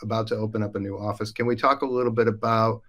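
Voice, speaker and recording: A man's voice: fairly low pitch, level tone, fast, fairly bright tone. A man hosting a live podcast, chatty and upbeat, introducing colleagues. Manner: upbeat, chatty, with frequent fillers. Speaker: host. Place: Denmark.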